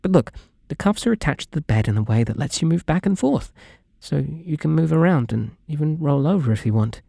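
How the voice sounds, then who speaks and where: A man's voice: fairly high pitch, animated, brisk, gravelly, dark tone, no room sound, clean studio sound. A British man playing a shy, lovestruck and possessive young kidnapper in an intimate ASMR roleplay, speaking earnestly and hesitantly to the listener. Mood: tender, eager. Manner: hesitant, earnest, politely sweet, rambling.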